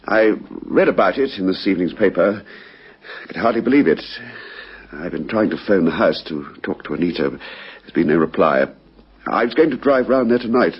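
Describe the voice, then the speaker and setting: An actor playing a nervy, evasive murder suspect in a radio crime drama, hesitant and defensive. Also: male voice, sits low, animated, moderate pace, husky, heavily acted. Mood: shaken, uneasy. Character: evasive, nervy male friend of murdered gambler, under questioning. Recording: dull vintage radio recording.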